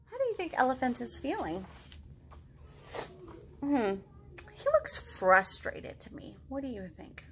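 A woman's voice: high-pitched, big pitch swings, measured pace, long pauses, a little hiss or hum. A woman in her thirties leading a children's storytime, asking simple questions as she talks to young listeners. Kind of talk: interactive picture-book storytime for children. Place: living room at home.

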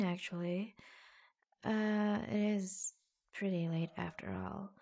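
A woman playing an attentive, affectionate office assistant in a soft-spoken ASMR roleplay, breathy and hushed, with gentle hesitant pauses, close to the microphone. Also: a little high, slight background noise. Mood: attentive, businesslike.

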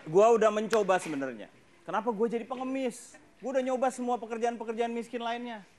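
A man's voice: very high-pitched, quick pace. A man doing a slangy, self-mocking stand-up routine on a TV stage and acting out little scenes. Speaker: stand-up comedian. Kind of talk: stand-up comedy monologue with acted bits. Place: large TV studio stage with audience. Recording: TV studio with crowd laughter and noise.

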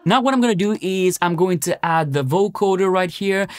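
Higher-pitched man presenting a studio tutorial. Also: moderate pace, clear, resonant tone, no echo. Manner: explanatory, step by step. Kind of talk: conversational music production tutorial. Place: small home-style music studio, indoors.

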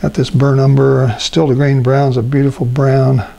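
An older man demonstrating a watercolor painting as an instructor, talking himself through each step with frequent ums and pauses. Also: medium pitch, gravelly. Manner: relaxed, thinking aloud with many ums. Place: art studio at a painting table.

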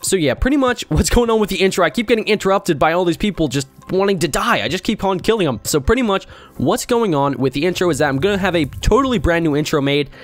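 Hoarse young man, chatty game commentary. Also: high voice, quick pace. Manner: chatty, excitable, talks to opponents. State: hoarse from a sore throat. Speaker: gaming YouTuber. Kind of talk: casual gameplay commentary.

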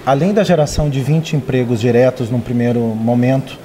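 A man's voice: medium pitch, brisk, mellow, a little hiss or hum. A man in his thirties, a newspaper editor, explaining a story in a live interview with frequent hesitations. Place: open-plan newsroom.

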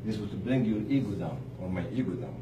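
Animated man speaking in an echoey room. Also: on the low side. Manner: emphatic, pausing, rhetorical questions to listeners. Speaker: motivational speaker addressing charity staff. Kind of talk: informal religious motivational talk. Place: room with live audience. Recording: distant mic, echoey room, clear noise.

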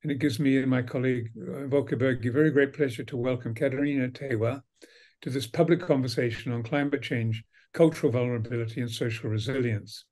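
A man in his seventies or older hosting a video call interview in a formal, courteous, measured way. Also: medium pitch, fairly even intonation, a little mellow. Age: 70s or older. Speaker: host, institute director. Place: indoor book-lined study, video call.

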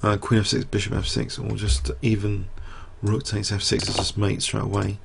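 A middle-aged man commentating live on a chess game, talking through the moves and quizzing his viewers, his voice getting croaky. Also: on the low side, level tone, normal speed, very noisy.

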